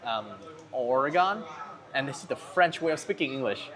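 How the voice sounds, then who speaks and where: A man from Vietnam, a guest telling his story quickly with stumbles and loose grammar. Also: medium pitch, animated, crowd noise. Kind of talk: casual interview.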